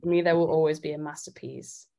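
Lowish-voiced woman with creaky fry. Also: fairly even intonation, fast, dark tone. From Italy. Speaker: singer-songwriter guest. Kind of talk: casual interview chat.

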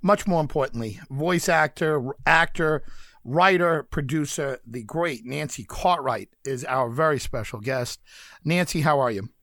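A man's voice: on the high side, measured pace, no echo. Effusive male radio host, dry studio sound. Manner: warm, effusive praise, steady host patter. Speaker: radio talk show host. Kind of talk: radio interview.

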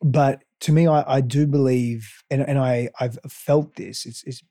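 A man's voice: medium pitch, fairly even intonation, measured pace, warm tone, no room sound, clean studio sound. A man coach from Australia whose first language is Greek, talking earnestly and repeating words as he thinks. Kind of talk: casual interview chat.

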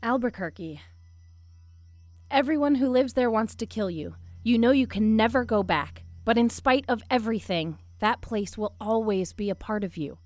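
A woman's voice: a little high, animated, normal speed, dry recording, lightly acted. A woman playing a determined, wry scientist-adventurer, a wife and mother, in an audio drama with earnest delivery. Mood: earnest, tender.

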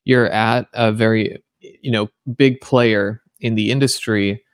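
A man's voice: on the low side, fairly even intonation, slow pace, dry recording, studio quality. A man hosting a podcast, asking questions in a conversational interview style.

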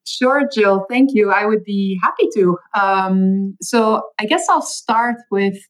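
A woman's voice: mid-range voice, slow pace, rough recording. A middle-aged woman from Belgium with a Flemish accent, a native Dutch speaker, guest on an interview podcast, telling her story earnestly and thoughtfully with small fillers. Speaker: chief medical officer, guest.

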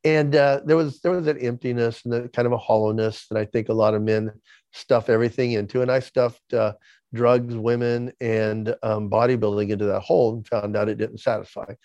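A man's voice: medium pitch, steady tone, fairly quick, a little mellow, dry recording, lo-fi sound. Man in his sixties with a lisp. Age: 60s. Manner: repeats words when starting sentences. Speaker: podcast guest. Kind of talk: candid interview storytelling.

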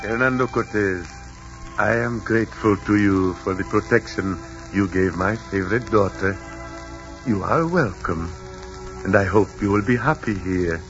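An actor playing a courteous, dignified Aztec emperor with a formal, measured delivery in 1940s radio drama. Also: male voice, fairly low pitch. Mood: gracious. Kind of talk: stately 1940s radio acting. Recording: dull old radio, music behind.

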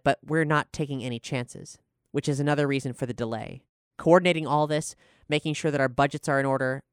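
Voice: mid-range voice, smooth, no room sound, studio quality. A podcast host and showrunner speaking earnestly and articulately while making announcements and talking about a production.

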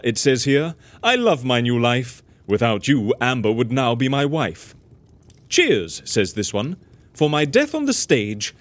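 An actor playing a flamboyant, theatrical boss, declaiming rhymes with showy relish in an audio drama. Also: male voice, mid-range voice, no room sound, exaggerated voice. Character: flamboyant, theatrical male boss reading cards aloud. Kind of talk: rhyming audio drama acting.

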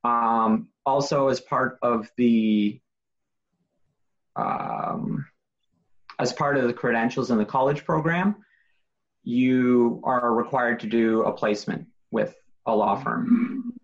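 A man, a paralegal guest on a podcast, explaining his profession methodically with frequent pauses and fillers. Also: medium pitch, highs cut off. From Canada. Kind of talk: interview answers.

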